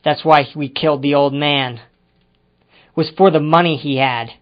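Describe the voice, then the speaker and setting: An actor playing an evasive, touchy ex-convict in an old-time crime radio drama, speaking in a hesitant, defensive way. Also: male voice, fairly high pitch, steady tone, a little slow, natural voice. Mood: nervous confessing. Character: evasive, touchy male ex-convict confessing to a detective. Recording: muffled amateur radio-drama recording with music.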